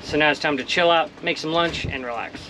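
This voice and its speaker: A man's voice: on the high side, a little fast. A man of about forty chats casually to the camera in a laid-back, plain-spoken way, outdoors on a sailboat. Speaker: solo sailor and vlogger. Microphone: action camera at close range. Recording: wind noise on camera mic. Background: wind and water.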